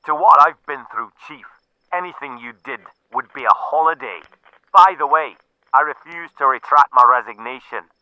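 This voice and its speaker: A man's voice: mid-range voice, normal speed, no echo, muffled recording, pitched above the performer's own voice, natural voice. A man voicing a defiant, exasperated police inspector, insistent and sardonic, in an audiobook. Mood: sardonic, resolute.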